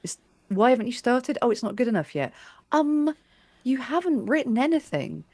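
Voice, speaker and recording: A woman's voice: a little high, very animated, moderate pace, warm tone, no echo. A woman chatting casually as an interviewer, thoughtful and anecdotal, often repeating words as she works out her phrasing. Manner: hesitant, repeats words while thinking.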